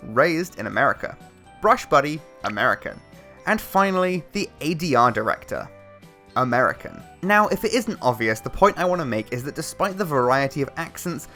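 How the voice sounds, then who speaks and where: A British man reading a scripted commentary with a critical, measured delivery. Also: on the high side, pushed and bright. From Britain. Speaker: video essayist.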